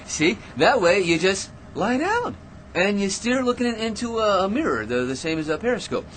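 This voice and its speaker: An actor playing an excitable, self-important eccentric in a radio drama, rambling and stammering through grand schemes with theatrical delivery. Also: male voice, mid-range voice, slight background noise, lightly acted.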